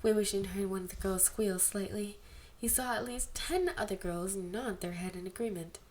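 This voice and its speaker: A woman's voice: mid-range voice, normal speed, a little mellow. Woman reading a story expressively. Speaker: amateur podfic reader. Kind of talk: expressive fan fiction narration. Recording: amateur home recording, background noise.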